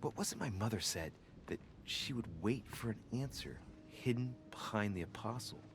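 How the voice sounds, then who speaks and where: An actor playing an inquisitive young man investigating a mystery in a video game, musing aloud in a deliberate, theatrical way. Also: fairly low pitch, lively intonation, gravelly, background noise. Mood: intrigued. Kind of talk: dramatic game narration, thinking aloud.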